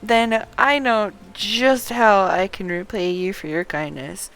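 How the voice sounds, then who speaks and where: A woman reading aloud the dialogue of a friendly, chatty quest giver in a fantasy game, deliberately paced. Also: medium pitch, steady tone, gravelly, a bit bright, clear, resonant tone, poor audio. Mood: earnest, persuasive.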